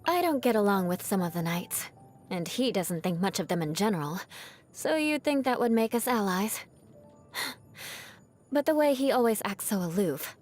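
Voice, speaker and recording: A woman voicing a proud young noblewoman knight in a video game, composed and haughty, with formal diction and a grudge-holding edge over a softer heart. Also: medium pitch, expressive, moderate pace, soft background music, lightly acted. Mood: disdainful. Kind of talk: scripted video game character voice lines.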